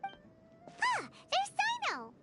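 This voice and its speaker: Squeaky, chirpy little fairy companion. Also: female voice, huge pitch swings, extremely slow, full character voice. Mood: cheerful. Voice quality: squeaky, childlike. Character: small chirpy childlike floating fairy companion, curious and blunt. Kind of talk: video game voice acting. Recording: music under the voice.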